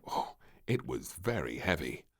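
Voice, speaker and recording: A man narrating a children's storybook in an expressive, theatrical and measured way. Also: deep voice, creaky.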